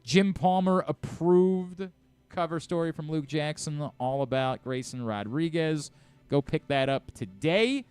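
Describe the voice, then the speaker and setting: A man's voice: fairly high pitch, measured pace, a little mellow, clean tone, no room sound. A man hosting a radio show, talking casually and conversationally about sports.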